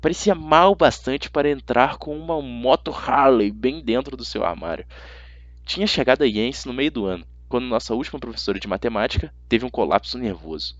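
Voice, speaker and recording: Casting: Brazilian male amateur narrator, expressive storytelling, highly varied intonation, dry close-miked recording. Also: a little fast, dry recording, highs cut off.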